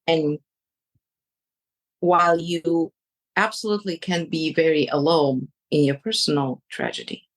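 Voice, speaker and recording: A woman with a Ukrainian accent, a coach speaking in an interview, choosing her words slowly with many pauses. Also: low voice, rough recording. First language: Ukrainian or Russian. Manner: slow and searching, pausing between words. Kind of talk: reflective interview answers.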